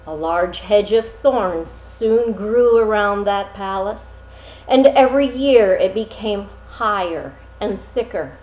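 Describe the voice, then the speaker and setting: Lady reading a fairytale out loud, really slow n dramatic, lots of pauses, echoey room. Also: a little high. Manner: dramatic, deliberate phrasing with pauses. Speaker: storyteller reading a fairy tale aloud. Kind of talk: expressive storybook narration.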